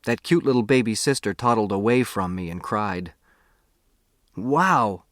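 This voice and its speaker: A man's voice: on the low side, fairly quick, dry recording. Dry, close male audiobook narrator. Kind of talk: scripted first-person novel narration.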